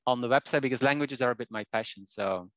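A man's voice: medium pitch, quick pace, a bit bright, dry recording, lo-fi sound. A Belgian man in his forties whose first language is Flemish Dutch, a language teacher speaking as a guest on a video call, often restarting and repeating words. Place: small home room with shelves. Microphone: headset on video call.

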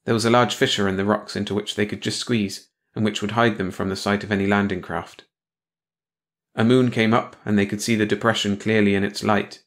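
A man with a slightly low voice narrates a story. He reads from a script as an audiobook narrator, in the style of a bedtime read-along. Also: flat delivery, fairly quick.

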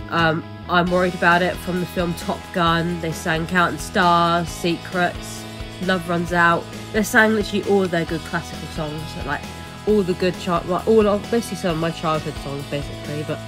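A young woman narrating her vlog footage in a chatty, rambling way, full of fillers and restarts. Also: lowish voice. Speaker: vlogger. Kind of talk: casual voice-over narration.

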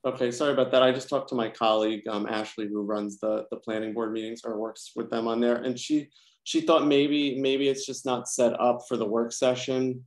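A man's voice: mid-range voice, steady tone, moderate pace. A man on staff at the meeting, explaining technical trouble in a hesitant, apologetic way with many ums, over a video call. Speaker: meeting staff running the stream. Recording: roomy echo.

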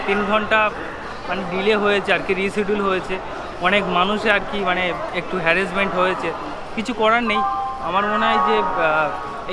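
Male travel vlogger, Indian Bengali accent, high-pitched, casual explainer delivery, recorded in heavy railway noise. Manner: explanatory, often checking with "okay?". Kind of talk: casual vlog narration to viewers. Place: busy railway station and train carriage. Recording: loud station crowd and train noise. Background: crowd chatter and railway noise.

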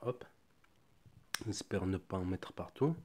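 A man with a cold reviewing a ready meal at home in a relaxed, chatty way, thinking aloud as he goes. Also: deep voice, slow. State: has a cold. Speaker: food reviewer. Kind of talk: casual product tasting review. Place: home kitchen table.

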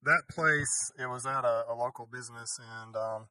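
A man's voice: medium pitch, moderate pace, bright, forceful tone, dry recording, poor audio. An older man hosting a beekeeping interview over a video call, talking in a rambling, self-correcting way with small chuckles. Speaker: beekeeping channel host. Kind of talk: casual interview chat. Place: home room with a headset mic. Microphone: headset with a boom mic, video call.

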